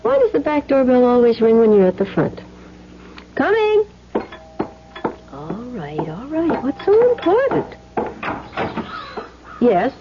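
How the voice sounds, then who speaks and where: A woman's voice: high-pitched, wildly swooping pitch, takes it slowly, long pauses, exaggerated voice. An actress playing a devoted, anxious housewife and mother in a 1970s radio drama. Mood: flustered. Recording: dull lo-fi radio recording, faint noise.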